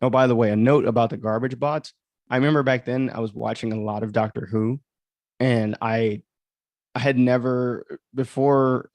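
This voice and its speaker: A man's voice: mid-range voice, a little mellow, dry recording. A man giving casual, rambling livestream commentary as an author and host, full of conversational asides. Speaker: author hosting a livestream of their audio drama.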